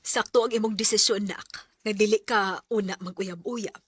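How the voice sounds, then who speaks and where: A woman's voice: mid-range voice, fairly even intonation, normal speed, husky, close to an everyday voice. A woman playing a supportive mother giving advice in a Bisaya radio drama. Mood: approving. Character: supportive, advising mother of a student.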